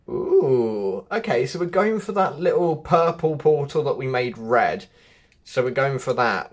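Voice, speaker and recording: A man hosting a casual gameplay walkthrough, thinking aloud and talking straight to his viewers. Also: medium pitch. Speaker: gaming video host.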